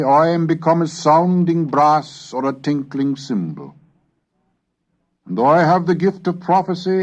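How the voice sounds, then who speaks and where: A man's voice: on the high side, darkish tone. Formal, oratorical man on dull tape. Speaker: lecturer and reader. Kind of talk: scripted educational narration with dramatic readings. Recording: dull lo-fi cassette recording.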